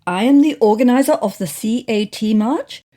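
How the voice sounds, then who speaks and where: A woman's voice: fairly high pitch, moderate pace, dark tone, pitched above the performer's own voice, lightly acted. A woman voicing an earnest, stubborn female activist cat in a storybook, with an affected Nordic accent. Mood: defiant.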